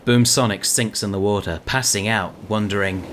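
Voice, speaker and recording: A man's voice: fairly low pitch, steady tone, normal speed, fairly bright tone, slight background noise. A man narrating an audio drama in a dramatic, scripted storytelling style.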